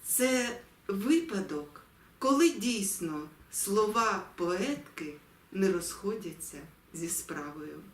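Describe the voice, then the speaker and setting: A woman from Ukraine reading poetry in a solemn, deliberate, expressive way with dramatic pauses. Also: medium pitch. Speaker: poetry reader. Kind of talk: solemn poetry recitation with spoken introduction. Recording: echoey room, distant mic, music behind.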